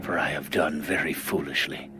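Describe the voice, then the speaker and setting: A man playing a remorseful king praying for forgiveness with hushed, pleading delivery in an audio Bible drama. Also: extremely low pitch, steady tone, normal speed, music in the background. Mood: anguished remorse.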